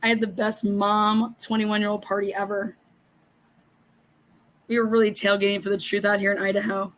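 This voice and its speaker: A middle-aged woman hosting a casual livestream, hoarse and raspy, reading viewer comments and ranting bluntly with lots of swearing. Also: mid-range voice, flat delivery, moderate pace, low-quality recording. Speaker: livestream host.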